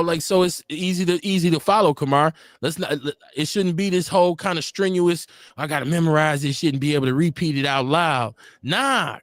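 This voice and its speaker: A man's voice: a little high, dry recording, clean studio sound. A man co-hosting a casual live podcast, rambling through stories with frequent self-corrections and laughs. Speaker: podcast co-host. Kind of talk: casual chat. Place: home studio, livestream.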